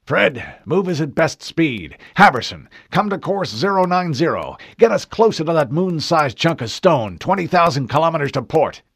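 A man voicing a gruff, commanding older male admiral who barks orders. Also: medium pitch, lively intonation, normal speed, no room sound, studio quality, voice pushed up. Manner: barking orders, forceful.

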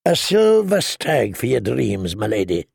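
A man voicing a courteous male lord who speaks in a solemn, measured way. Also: mid-range voice, wildly swooping pitch, creaky, a little mellow, slightly rough, dry recording, exaggerated voice.

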